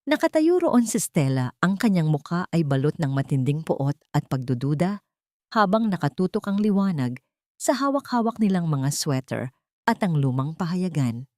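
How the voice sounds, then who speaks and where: A woman's voice: sits low, very animated, moderate pace, darkish tone, no echo, studio quality. A woman reading a dramatic story as a scripted narration, with a solemn, ornate storytelling delivery.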